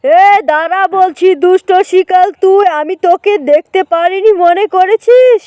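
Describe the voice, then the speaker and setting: A woman's voice: extremely high pitch, unhurried, mellow, no room sound. A woman voicing a protective, hot-tempered uncle who scolds emphatically in a children's cartoon. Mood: furious, threatening. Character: protective uncle who keeps rabbits, quick to anger.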